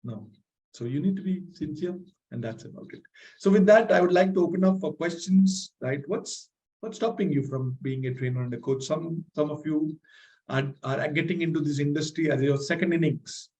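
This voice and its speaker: Energetic male trainer, fairly high pitched, motivational, with a slightly strained voice. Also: normal speed, poor audio. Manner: energetic and motivational, tags sentences with "right?". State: voice strained from talking loudly. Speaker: leadership trainer hosting a masterclass. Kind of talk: live online masterclass with audience questions.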